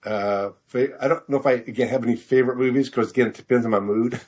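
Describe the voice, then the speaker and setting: A middle-aged American man answering questions in a casual interview, halting and self-deprecating as he searches for his words. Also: medium pitch, fairly even intonation, a little mellow. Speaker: author interview guest.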